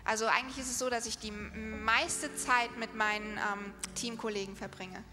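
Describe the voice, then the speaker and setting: A fairly high-voiced woman interprets live into a microphone in a big hall. Her phrases come in halting bursts with restarts, and each line follows a speaker. Long reverb and clear music sit behind her. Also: fairly even intonation. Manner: halting, restarts mid-sentence. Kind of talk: live stage interpreting into German. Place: large hall.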